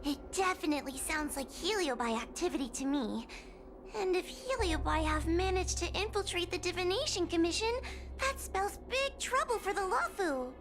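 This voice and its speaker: A woman's voice: extremely high pitch, expressive, normal speed, a bit bright, slight background noise, heavily acted. A woman voicing a timid but earnest young girl in a video game, speaking hesitantly and politely. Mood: earnest, cautious.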